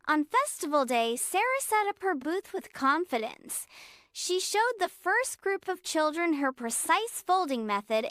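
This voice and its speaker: Woman storyteller, high voice, slow, gentle reading, dry close sound. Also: smooth, no echo. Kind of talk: scripted children's story narration.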